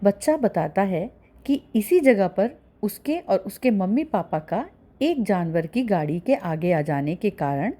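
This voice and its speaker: A woman's voice: mid-range voice, warm tone. A woman narrating a story for children as a storyteller.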